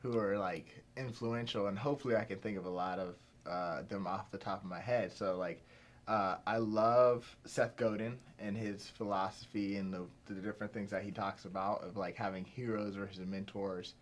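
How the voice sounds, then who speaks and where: A man's voice: on the low side, fairly even intonation, normal speed. Rambling young man from Pittsburgh. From Pittsburgh, USA. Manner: rambling, many fillers and restarts. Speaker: filmmaker guest. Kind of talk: casual interview chat.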